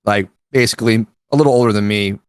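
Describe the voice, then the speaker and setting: Laid-back low-voiced man in his thirties. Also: fast talker, clean tone, dry recording, clean studio sound. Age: 30s. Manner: laid-back, slangy, laughs often. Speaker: guest drummer. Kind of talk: casual podcast chat.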